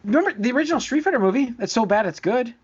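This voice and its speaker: A man's voice: extremely high pitch, expressive, racing, crowd noise. A man co-hosting a podcast, chatting casually and reading out rankings. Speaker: podcast co-host. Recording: dull, lo-fi voice recording.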